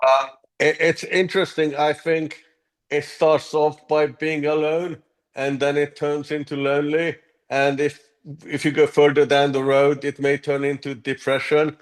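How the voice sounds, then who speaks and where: A man's voice: a little high, flat delivery, a little slow. A man from Hungary, an app co-founder, giving earnest interview answers with frequent ums and restarts.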